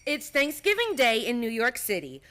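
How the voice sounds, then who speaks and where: A woman's voice: a little high, sing-song, normal speed, background noise. A woman narrating an old-time radio play from a script in a theatrical, presentational manner.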